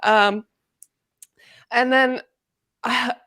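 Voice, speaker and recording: A woman in a casual book discussion, speaking in an informal, exclamatory and animated way. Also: fairly high pitch, very slow. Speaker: guest discussing a novel in a readalong livestream.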